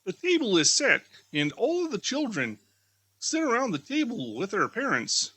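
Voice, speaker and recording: A man narrates a storybook from a script. His voice sits high and moves expressively, with a husky edge. The sound is dry. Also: wildly swooping pitch, moderate pace, bright, forceful tone, no echo, poor audio.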